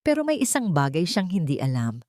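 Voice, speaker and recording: A woman's voice: fairly low pitch, wildly swooping pitch, brisk, darkish tone, dry recording, clean studio sound. Woman voicing an earnest, protective middle-aged male lawyer. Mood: determined. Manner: solemn, reassuring, switches between English and Tagalog.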